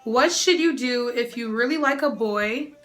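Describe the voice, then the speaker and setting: Bright, sassy young woman. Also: a little high, normal speed, faint music behind. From United States. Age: young adult. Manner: sassy, animated, often calls the viewer "girl". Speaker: YouTuber answering viewer questions. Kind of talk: casual Q&A vlog.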